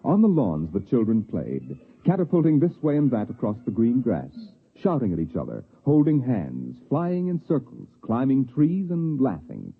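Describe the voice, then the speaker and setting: An actor playing the solemn, portentous narrator of a 1950s radio science fiction drama, with dramatic, deliberate phrasing. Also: male voice, medium pitch, wildly swooping pitch, creaky, husky, heavily acted. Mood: lyrical, calm. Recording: tinny vintage 1950s radio recording. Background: music.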